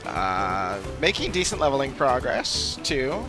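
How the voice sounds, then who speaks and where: A man's voice: mid-range voice, sing-song, a little slow. A man chatting casually and unscripted on a live game stream, rambling as he thinks aloud. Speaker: live streamer playing an online role-playing game. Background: game music.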